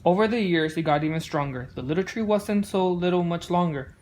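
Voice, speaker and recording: A woman reading a children's story aloud and offering casual, gentle encouragement as a parent. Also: on the low side, fairly quick, slight background noise. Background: music.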